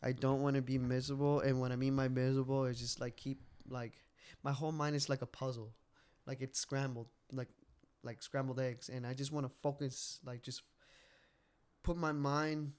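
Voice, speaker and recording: Rambling man in his late twenties, clear voice. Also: mid-range voice, little pitch movement, normal speed, darkish tone. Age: late 20s. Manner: rambling, repeats phrases, swears casually. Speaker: podcast co-host. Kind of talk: casual, candid podcast chat.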